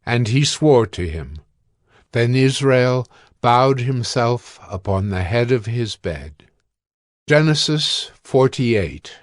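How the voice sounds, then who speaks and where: A man narrating scripture from a script in a measured, formal manner. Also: medium pitch, expressive, no room sound, studio quality.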